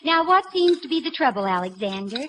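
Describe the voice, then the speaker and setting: A woman's voice: high voice, big pitch swings, a little fast, a bit bright, faint music behind. An actress playing a sweet, sensible young housewife and mother in a 1940s radio sitcom. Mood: affectionate, teasing. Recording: faintly noisy 1940s radio broadcast.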